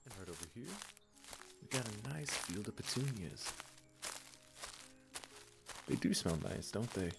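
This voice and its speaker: A man playing a gentle, wise garden keeper in a comforting audio roleplay, speaking in a soothing, affectionate and encouraging way. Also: lowish voice, lively intonation, a little slow, creaky, pauses often, music in the background. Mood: gentle, reassuring.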